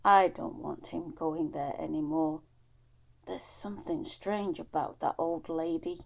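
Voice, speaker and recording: A woman voicing a stern, gruff father in an audiobook story, speaking emphatically and scolding. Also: low voice, steady tone, a little slow, darkish tone, exaggerated voice. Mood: worried, firm. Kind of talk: acted audiobook dialogue.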